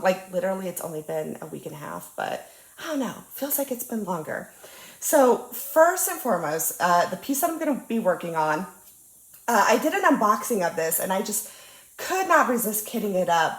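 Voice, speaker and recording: A young woman with a cold-stuffed, slightly nasal voice chats cheerfully, full of ums and uhs. She sounds relaxed and friendly at a crafting table. Her voice bounces off a roomy space. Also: mid-range voice. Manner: bubbly, chatty, lots of ums and uhs. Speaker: diamond painting channel host. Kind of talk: casual crafting chat. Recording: roomy echo.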